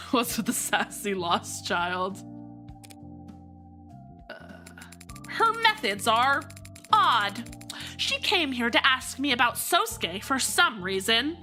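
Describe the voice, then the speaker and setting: A woman's voice: on the high side, very animated, takes it slowly, pauses often, sharp tone, faint music behind. A young woman streamer chatting and laughing through a story game, poking fun at her own voice work. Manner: giggly, chatty, self-mocking. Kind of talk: casual gameplay commentary.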